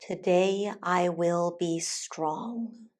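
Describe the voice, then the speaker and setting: A woman teacher leading a guided meditation in a calm, soothing, deliberate way with long pauses. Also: fairly low pitch. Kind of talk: guided meditation, soft instructional narration.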